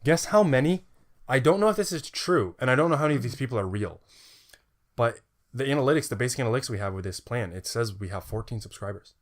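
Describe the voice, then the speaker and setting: Young guy cohosting a podcast, rambles a lot, um and like everywhere, medium pitch. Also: animated, quick pace. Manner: rambling, many fillers and repeated words. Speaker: podcast co-host. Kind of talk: casual chat.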